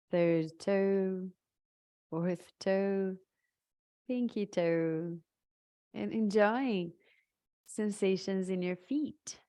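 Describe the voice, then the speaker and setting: A woman guides a meditation at an extremely slow, unhurried pace. Her voice sits at a middling pitch with a noticeable creak. She delivers short, soothing phrases and leaves long gaps between them. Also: dark tone.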